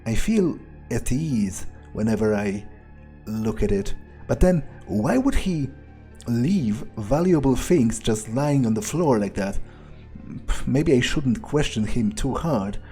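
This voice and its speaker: Slow, mellow man musing aloud. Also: mid-range voice, animated, soft background music. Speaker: gaming video creator playing in character. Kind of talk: in-character game commentary, thinking aloud as the player character.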